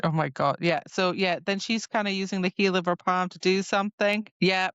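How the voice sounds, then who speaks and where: A woman's voice: medium pitch, steady tone, brisk, a bit bright, smooth, no room sound. Female co-host for a book podcast, playful and giggly in casual chat, very dry, slightly muffled recording. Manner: giggly, playful reactions and mock quotes. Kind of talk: casual chat about a fantasy romance novel.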